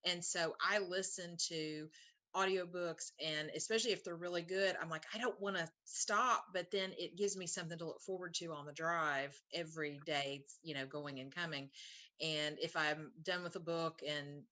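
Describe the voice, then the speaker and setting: Female co-host, low register but bright, informal conversational delivery. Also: steady tone, normal speed. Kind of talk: casual chat.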